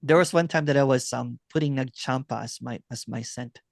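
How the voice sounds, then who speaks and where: A man speaking as a spiritual teacher in a relaxed conversation, often asking "right?" and "you know what I'm saying?". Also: medium pitch, brisk, gravelly, dry recording, clean studio sound. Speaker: non-duality teacher.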